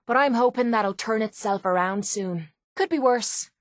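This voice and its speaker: A scrappy, hot-tempered young Irish fighting woman voiced by an actress for a video game. Her voice sits on the high side, and she talks bluntly and cockily, with a salty tongue. The sound is very dry, with no room around it. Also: moderate pace, dry recording, close to an everyday voice. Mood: irritated, guarded. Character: brash, foul-mouthed young female cage fighter, tough and hot-tempered. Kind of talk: video game companion voice lines.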